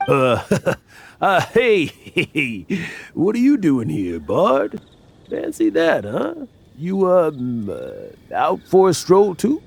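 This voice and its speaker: An aging adventurer, boastful, stammering, guilt-ridden, slow-paced, lively pitch, game music behind. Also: male voice, gravelly, husky, exaggerated voice. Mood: flustered. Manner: stammering and boastful, then mournful. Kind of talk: video game voice acting.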